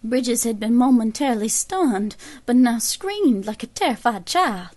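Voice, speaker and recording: An actress playing a grave 1870s American woman survivor, giving a measured, formal account in an audio drama. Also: fairly high pitch, expressive, a little mellow. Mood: intense, horrified. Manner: measured, formal old-fashioned storytelling.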